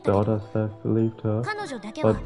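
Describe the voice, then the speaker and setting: Man reading as an earnest young woman researcher, monotone. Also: fairly low pitch, normal speed, lightly acted. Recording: muffled lo-fi mic, music behind.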